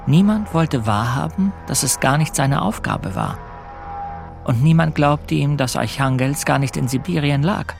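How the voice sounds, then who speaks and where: A sombre crime story narrator for radio, gravelly mid voice, measured, bit of music under it. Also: male voice, mid-range voice, sing-song. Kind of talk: scripted radio play narration.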